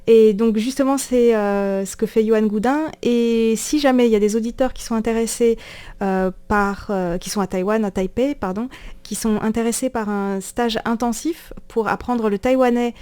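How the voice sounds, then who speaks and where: A woman's voice: fairly high pitch, steady tone, moderate pace, warm tone, smooth. Woman presenter explaining conversationally. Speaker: radio show presenter. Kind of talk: conversational radio discussion.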